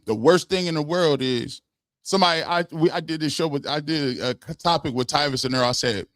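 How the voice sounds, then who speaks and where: Male podcast host, animated and slangy, slightly high voice, dry close mic. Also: a little fast, dry recording. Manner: animated, laughs often, slangy and emphatic. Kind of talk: casual live-stream chat.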